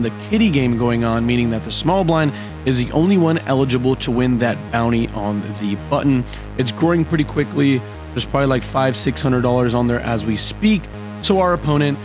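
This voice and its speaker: A man narrating his poker hands in a voice-over, with hyped, dramatic play-by-play and a slightly hoarse voice from a cold. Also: medium pitch, rough recording. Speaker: poker vlogger. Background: background music.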